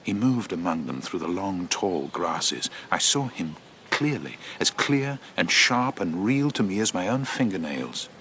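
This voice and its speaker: An actor playing a shaken eyewitness messenger from ancient Greece in a radio drama, telling of horror in an intense, deliberate, theatrical monologue. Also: male voice, sits low, big pitch swings, bright, forceful tone, slight background noise, exaggerated voice. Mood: grim, haunted.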